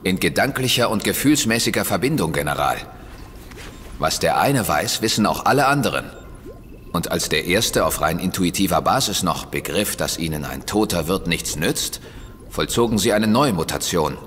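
An earnest young son who explains things with care. His voice is very low. The audio-drama sound has a long reverb and faint hiss. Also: expressive, normal speed, a bit bright. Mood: explaining. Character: young man, the hero's grown son.

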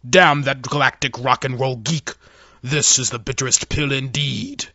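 A man's voice: mid-range voice, normal speed, sharp tone, no echo. A man voicing a furious alien fleet admiral in a theatrical science fiction audio story, growling and bombastic. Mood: furious, commanding.

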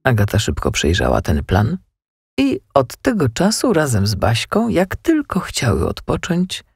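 A woman's voice: very deep voice, slightly rough, dry recording, studio quality. A woman narrating a scripted children's story as an audiobook narrator. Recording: music under the voice.

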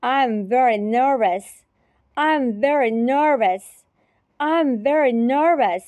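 Slow, careful woman teacher. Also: sits high, a little mellow, no echo. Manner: repeats each phrase three times, carefully. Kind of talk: slow repetition drill.

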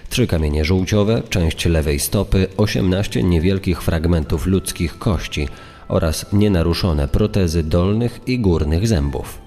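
A man performs a grave official-sounding reader for a true crime podcast. The voice is very low and mellow, formal in delivery. It rings with a long reverb. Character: grave male reader of case findings and verdict. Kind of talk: dramatized scripted true crime narration. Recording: long reverb over music. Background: music.

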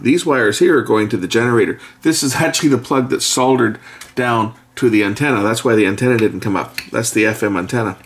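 A middle-aged man giving a casual hands-on narration as an electronics repair presenter, speaking haltingly as he thinks aloud at an indoor workbench. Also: medium pitch. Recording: faint background noise, workbench clicks. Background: faint noise, clicks of handled parts.

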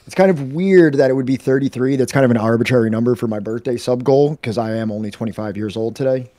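Relaxed thirties man chatting on stream. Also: mid-range voice, fast talker, dry recording. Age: 30s. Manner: relaxed, thanks viewers, many ums. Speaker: co-host of a history reading stream. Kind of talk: casual livestream chat. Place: room at home.

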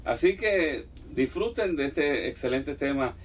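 A man's voice: on the high side, animated, a little slow. A middle-aged man with a Venezuelan accent, proudly introducing an album and inviting listeners in. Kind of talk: promotional introduction. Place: indoors, small room. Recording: distant mic with faint noise.

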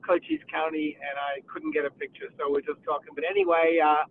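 A chatty man in his sixties with a New Zealand accent talks from his car over a tinny phone line. His voice sits a little high, and faint road rumble runs underneath. He speaks in a casual, self-deprecating way. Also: steady tone, a little fast. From United States, raised partly in New Zealand. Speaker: winemaker and former filmmaker. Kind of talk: casual webinar introduction. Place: driving in a car. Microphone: phone while driving.

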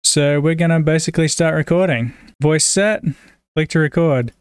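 Clear-voiced Australian man presenting a tutorial. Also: on the high side, moderate pace, no room sound, studio quality. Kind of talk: casual screen-recorded software tutorial.

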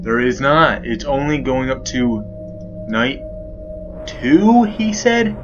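A man's voice: medium pitch, very animated, slow pace, dull audio, over music. A young man doing rambling, joking commentary while playing a horror game. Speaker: gaming youtuber. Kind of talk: let's play commentary.

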